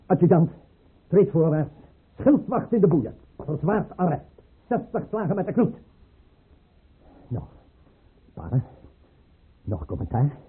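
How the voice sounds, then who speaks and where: A thin, old radio play recording carries a despotic tsar obsessed with drill. His fairly high voice lurches wildly in pitch as he snaps out clipped commands and mutters to himself. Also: very animated, a little slow, pauses often, breathy edge, narrow, tinny audio, full character voice. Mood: menacing, smug. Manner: clipped barked orders, muttered counting. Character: capricious tyrannical tsar, obsessed with drill and buttons. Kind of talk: Dutch radio play acting.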